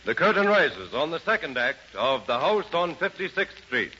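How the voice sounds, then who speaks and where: Lively male vintage radio announcer. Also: a little high, moderate pace, lo-fi sound. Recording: noisy, band-limited 1930s radio recording.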